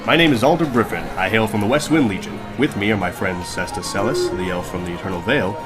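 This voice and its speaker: A man playing a confident, smooth-talking leader of adventurers in a fantasy audio drama, polite and persuasive. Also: fairly low pitch, fast talker, loud music behind the voice, natural voice. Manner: polite, persuasive, formal with strangers.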